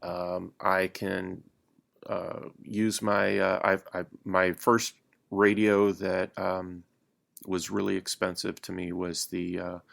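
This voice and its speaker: A man chatting casually as a podcast guest, halting and often pausing mid-sentence as he thinks. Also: sits low, level tone, vocal fry, fairly bright tone. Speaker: podcast guest, radio hobbyist. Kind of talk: unscripted casual chat.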